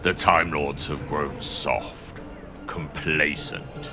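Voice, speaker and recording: A man playing an ancient, gloating vampire tyrant in an audio drama, with a gravelly voice and slow, grandiose delivery. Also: a bass voice, steady tone, over music, exaggerated voice. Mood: cold threatening. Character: ancient vampire Time Lord tyrant, male, menacing and gloating.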